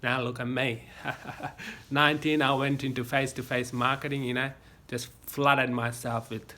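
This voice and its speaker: A man's voice: medium pitch, flat delivery, a little slow, bright, forceful tone. An Australian man talking casually into his phone camera, giving rambling folksy life advice with lots of fillers and restarts. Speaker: vlogger. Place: home gym.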